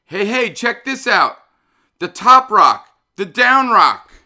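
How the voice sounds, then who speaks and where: A man voicing an exuberant young hip-hop DJ in a storybook, calling out chanted party shout-outs in a loud, drawn-out way. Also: high-pitched, very animated, pitched above the performer's own voice, heavily acted. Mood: exuberant hype. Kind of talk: chanted rap-style party call-outs.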